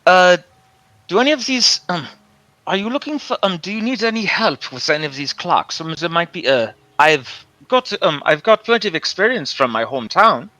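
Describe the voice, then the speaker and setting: A man's voice: high voice, big pitch swings, normal speed, sharp tone, no echo, voice pushed up, exaggerated voice. A man voicing a polite, eager male mouse-folk adventurer, with stumbling restarts.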